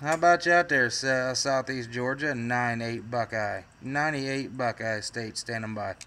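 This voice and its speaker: Loud noise and radio static surround an American man with a medium-pitched voice. He works a CB radio, making contact calls and answering in folksy operator jargon. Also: moderate pace. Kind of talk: on-air CB radio contact chatter.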